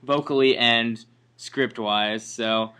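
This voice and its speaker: A man's voice: medium pitch, steady tone, takes it slowly, sharp tone. Man, mid-range voice, interviewer, casual conversational questioning.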